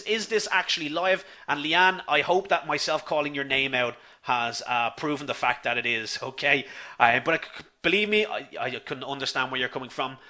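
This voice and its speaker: A man with a Dublin accent runs a live online lesson, speaking in a slightly raised, bright, forward voice. He is chatty and easygoing, addressing his viewers as folks and answering their comments as they come in. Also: fairly high pitch. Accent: Dublin Irish. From Ireland. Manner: chatty, says "folks" often, reads viewer comments aloud. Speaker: online instructor.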